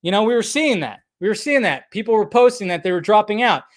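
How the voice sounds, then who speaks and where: A man's voice: high voice, animated, fast. A man hosting a live fan commentary show in an unscripted rant, exasperated and blunt, swearing heavily and repeating phrases for emphasis.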